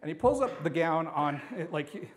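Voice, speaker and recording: A man telling a comic personal anecdote live at a storytelling event, rambling and animated, acting out bits of dialogue. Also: fairly high pitch, normal speed, a crowd in the background.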